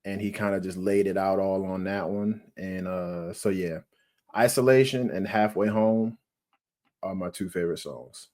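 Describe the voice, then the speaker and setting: A young man co-hosting a casual music review podcast, unhurried and emphatic, often restarting his sentences. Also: deep voice, a little mellow. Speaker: podcast co-host.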